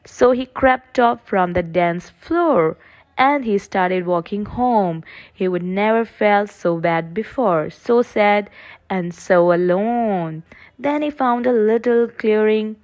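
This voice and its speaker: Woman doing a kids read-aloud, slow pace, medium pitch, scripted storybook narration. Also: darkish tone.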